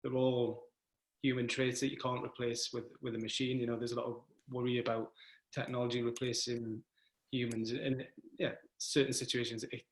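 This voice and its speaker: A man, hosting a casual chat in a relaxed, hesitant way with many restarts. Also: medium pitch, moderate pace. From north of England. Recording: echoey room.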